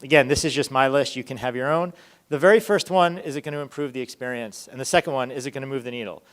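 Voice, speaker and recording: A man's voice: mid-range voice, fast talker. A man presenting an informal conference talk as a research lead, conversational, often checking in with rhetorical questions.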